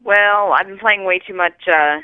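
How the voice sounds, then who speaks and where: Female phone-in caller, clean smooth voice through a narrow telephone line, casual and halting. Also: medium pitch, no echo. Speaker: caller leaving a listener message. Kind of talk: casual chat. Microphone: phone call.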